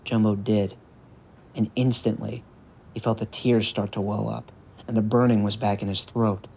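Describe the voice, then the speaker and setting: A man's voice: fairly low pitch, level tone, moderate pace, creaky, dark tone, slightly rough. A man reading a scripted story narration as the storyteller of an audio drama. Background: music.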